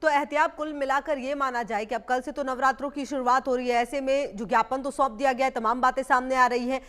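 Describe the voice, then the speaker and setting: A woman's voice: sits high, fairly even intonation, brisk, fairly bright tone. A woman anchoring live news, putting questions to a reporter in conversational broadcast speech.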